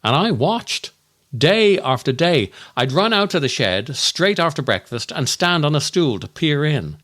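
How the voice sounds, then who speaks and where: A man delivering a scripted comic monologue as a storyteller, with dramatic, deliberate and darkly comic delivery. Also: fairly high pitch, big pitch swings, fairly bright tone. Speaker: comedian and podcast host.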